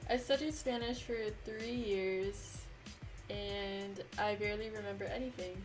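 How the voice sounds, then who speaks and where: Steady twenty-year-old woman, matter-of-fact. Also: medium pitch, measured pace, music in the background, poor audio. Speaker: podcast co-host. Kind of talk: casual chat.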